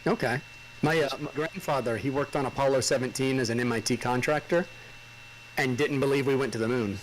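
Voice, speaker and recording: A man in his forties hosting a casual chat, answering with quick, easygoing affirmations. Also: medium pitch, a little hiss or hum, rough recording. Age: 40s. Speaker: host.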